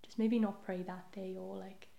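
Young woman, podcast guest, creaky low-key tone, chatty and self-deprecating, roomy echo. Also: level tone, moderate pace, muffled recording. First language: Welsh. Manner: chatty, self-deprecating, often trails into soft asides. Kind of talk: casual chat.

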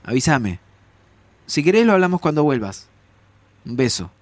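An actor playing an excitable, anxious time traveller in an audio drama, with an Argentine accent and a dramatic, easily flustered way of talking. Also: male voice, fairly high pitch, normal speed. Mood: casual. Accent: Argentine Rioplatense. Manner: dramatic, exclaiming, stammering when flustered. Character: excitable, anxious time-travelling man, close friend of Santi.

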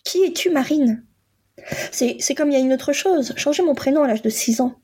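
A Polish-born woman in her forties who is a coach, telling her own story in a halting, reflective way with frequent pauses. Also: high-pitched, lively intonation, warm tone. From Poland. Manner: halting, many pauses and fillers. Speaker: guest, coach and psychotherapist. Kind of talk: reflective personal testimony.

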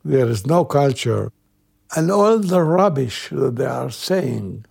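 A man in his eighties from Iraq with an Iraqi Arabic accent, a native Arabic speaker, telling personal memories in halting, repetitive phrases. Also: medium pitch, animated, gravelly, darkish tone, slightly rough. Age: 80s. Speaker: interviewee recalling his youth. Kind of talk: personal oral storytelling.

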